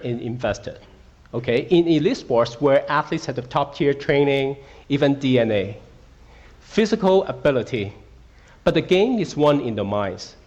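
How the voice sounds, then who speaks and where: A man's voice: mid-range voice, a little mellow, lo-fi sound. Brief: middle-aged man from Hong Kong, guest speaker at a school, interactive, keeps asking the crowd questions, mid-range voice. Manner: interactive, asks audience questions, frequent okays. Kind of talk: school assembly talk. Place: school auditorium.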